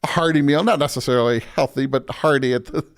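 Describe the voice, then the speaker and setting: Clear-voiced American man, informal pastor. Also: medium pitch, fast talker. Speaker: pastor, interview guest. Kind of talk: casual interview conversation.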